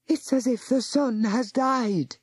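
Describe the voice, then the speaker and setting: Woman doing a shrill frightened landlady. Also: sing-song, normal speed, a little mellow, breathy edge, dry recording. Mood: panicked. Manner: shrill, fretful. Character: fussy middle-aged landlady, easily frightened.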